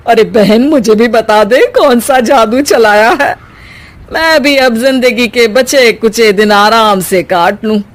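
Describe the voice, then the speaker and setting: Cartoon moral story: chatty elderly mother-in-law, voiced by a woman, on the high side. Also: slow pace. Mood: bored, pleading. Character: bored, chatty elderly Indian mother-in-law, warming to kindness.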